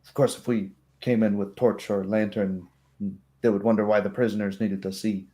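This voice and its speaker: A man voicing a female kobold go-between who lays out plans in a measured, matter-of-fact way. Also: fairly low pitch, flat delivery, warm tone, lightly acted. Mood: assured. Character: female kobold go-between, plain-spoken plotter.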